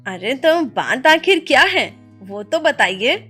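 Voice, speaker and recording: A high, bright and forceful jungle animal from a cartoon fable, played by a woman. The creature is cheeky, brash and teasing. Music plays softly behind. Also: very animated, takes it slowly, slightly rough, exaggerated voice. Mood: curious.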